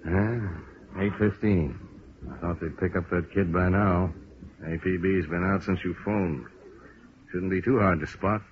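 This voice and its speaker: An actor playing a blunt, weary middle-aged police lieutenant in a 1950s radio drama, gruff and plain-spoken. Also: male voice, low voice, fairly even intonation, unhurried. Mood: weary, frustrated. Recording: dull old radio recording, faint noise.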